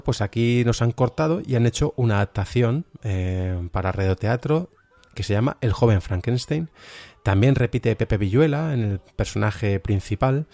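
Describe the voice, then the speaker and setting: A man's voice: fairly low pitch, a little mellow. A man from Spain with a Spanish accent giving an informal, reflective spoken review as a podcast host, a little hesitant.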